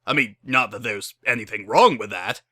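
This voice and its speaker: A man acts out a none-too-clever pony tough in a storybook reading. He talks in a slow, dopey, unsure dude manner, with a bright, punchy tone at an ordinary male pitch. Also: dry recording, studio quality. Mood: awkward, stunned. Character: dim, baffled young stallion tough guy. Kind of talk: dramatic reading of fan fiction.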